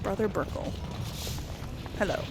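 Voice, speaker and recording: A woman's voice: unhurried, over loud music, rough recording. A woman giving casual commentary on a role-playing game, thinking aloud in a conversational way as its host. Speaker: gaming channel host.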